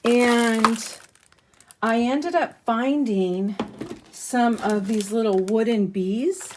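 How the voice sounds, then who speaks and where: A woman talking casually through a craft haul, losing her voice a little and clearing her throat, with frequent ums as she shows each item. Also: on the high side, extremely slow, noisy background. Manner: chatty, many ums, showing items up close. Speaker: crafting channel host. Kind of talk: casual haul chat to camera.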